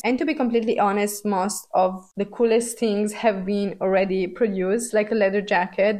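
A woman's voice: medium pitch, darkish tone. A young woman from Romania hosts a casual, personal podcast monologue, thinking aloud in a conversational way as a Romanian speaker. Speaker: podcast host.

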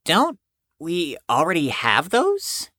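A woman's voice: lowish voice, huge pitch swings, takes it slowly, fairly bright tone, no room sound, studio quality, full character voice. A woman playing a formal, pedantic, earnest psychiatric patient in an audio drama, with precise, stilted, wordy speech.

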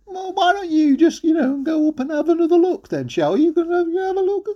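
Woman playing a high-pitched, dotty storybook mum. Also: sing-song, warm tone, muffled recording. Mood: coaxing. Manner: coaxing, drawn-out questions. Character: Jack's dotty, nagging mum, mishearing everything.

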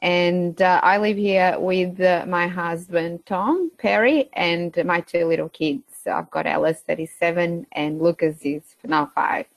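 A woman in her thirties speaks with a Portuguese accent in a fairly low voice, recorded dry and close. She chats casually as an interview guest, pausing often with fillers. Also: creaky, no room sound. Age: 30s. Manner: hesitant, many fillers, laughs between phrases. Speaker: guest, beef farmer.

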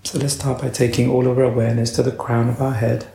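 A man leading a guided meditation as a teacher, speaking in a slow, measured way with long pauses. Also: medium pitch, little pitch movement, gravelly, dark tone, roomy sound.

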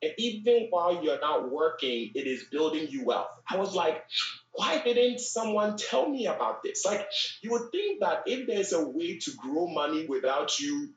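A man's voice: fairly high pitch, sing-song, normal speed, lo-fi sound. A man from Ghana, a podcast guest telling his story casually, with fillers and restarts. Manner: conversational, frequent fillers and restarts. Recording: echoey room, distant from mic.

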